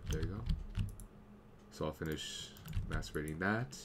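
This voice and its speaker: A young man with a slight cold, casually commenting on his game as he plays and thinking aloud, now and then clearing his throat. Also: rumbling low, moderate pace, noisy background. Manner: easygoing, thinking aloud while playing. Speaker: gameplay commentator. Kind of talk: casual let's play commentary.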